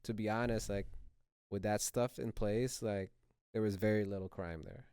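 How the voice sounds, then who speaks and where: Young male guest, deep and flat, easygoing storyteller, dry close mic. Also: moderate pace, clear, resonant tone. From Saudi Arabia. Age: young adult. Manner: relaxed, rambling, easygoing. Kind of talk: casual conversational testimony.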